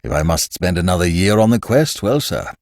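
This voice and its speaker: A plump, jovial older schemer , as a male reader puts him on, purring his words in a low, throaty drawl. The delivery stays deep and lilting, close and dry. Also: lively intonation, fairly quick, a little mellow, no echo. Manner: throaty complacent purr.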